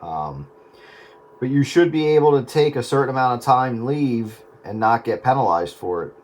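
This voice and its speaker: A man's voice: medium pitch, lively intonation, normal speed. A man in casual radio chat, hesitant and often repeating his words. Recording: noisy radio transmission.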